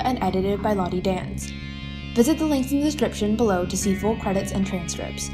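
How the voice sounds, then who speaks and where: Plucked guitar music plays clearly behind a woman with a mid-pitched voice. She reads scripted podcast credits as an announcer.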